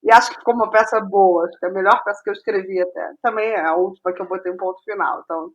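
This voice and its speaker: A Brazilian woman in a casual interview as a guest playwright, speaking haltingly and repeating phrases as she thinks aloud. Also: medium pitch, fairly even intonation, poor audio.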